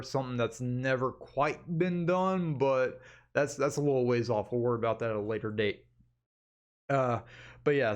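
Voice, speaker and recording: A man talking casually to his viewers in an explanatory vlog, with a folksy, conversational manner. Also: medium pitch. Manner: folksy, conversational, addresses listeners as guys. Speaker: amateur animation creator hosting an update video.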